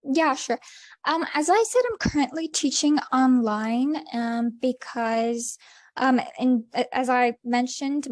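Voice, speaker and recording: High-voiced Iranian Azerbaijani woman. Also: darkish tone, no echo. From Iran. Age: young adult. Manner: hesitant, many fillers. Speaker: independent English teacher. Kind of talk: presentation then Q&A.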